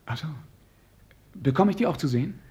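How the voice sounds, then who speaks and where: A man acting an affable, awkward royal prince in a German radio play, courteous and halting, repeating himself, with a husky voice. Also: a little high, lively intonation, dark tone. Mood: curious, affable.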